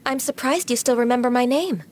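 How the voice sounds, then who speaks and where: A woman's voice: fairly high pitch, a little fast, a little mellow, close to an everyday voice. A woman playing a polite, coy young lady in a game, speaking in a genteel, lightly teasing way. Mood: polite, pleasant. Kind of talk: scripted game dialogue.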